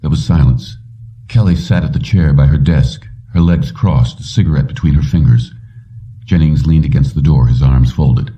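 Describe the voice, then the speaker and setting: A man's voice: a bass voice, normal speed, warm tone, recorded from a distance. A man reading a fiction audiobook as narrator, in a dull recording that sounds set back from the mic.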